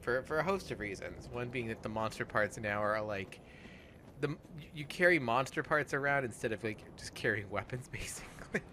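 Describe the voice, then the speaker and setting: A person with a man's voice hosting a casual gaming let's play, talking in a halting way and swearing freely. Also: mid-range voice, expressive, sharp tone, a little hiss or hum. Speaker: host and player of a gaming show.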